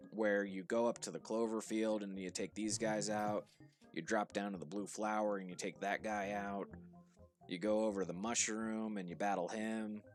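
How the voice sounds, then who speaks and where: A man with a New Jersey and Pittsburgh accent, casually coaching viewers through a game on a livestream, relaxed and instructive. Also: fairly low pitch. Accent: New Jersey and Pittsburgh blend. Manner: relaxed, instructive, with frequent restarts and fillers. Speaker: streamer guiding viewers. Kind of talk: casual live game commentary.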